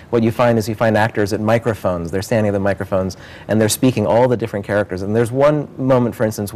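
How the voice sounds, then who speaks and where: Low-voiced, earnest man being interviewed. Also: darkish tone. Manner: earnest, enthusiastic. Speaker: theater director being interviewed. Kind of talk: promotional interview about a stage production.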